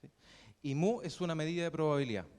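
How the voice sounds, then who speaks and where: A young man with a Chilean accent giving a university math lecture, halting often with fillers and restarts. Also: fairly high pitch, darkish tone. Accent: Chilean Spanish. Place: lecture hall. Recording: reverberant lecture hall.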